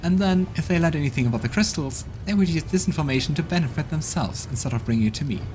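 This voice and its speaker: A man's voice: a little high, animated, fast, darkish tone, slight background noise, pitched above the performer's own voice, close to an everyday voice. A man voicing a haughty female demon queen. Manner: haughty, contemptuous, scoffing. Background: game music.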